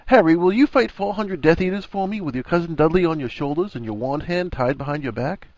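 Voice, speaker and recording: A man's voice: medium pitch, big pitch swings, moderate pace, darkish tone, breathy edge, dry recording, dull audio. A man voicing a blunt, cheeky, affectionate young husband with slangy talk in a story reading. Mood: cheeky teasing.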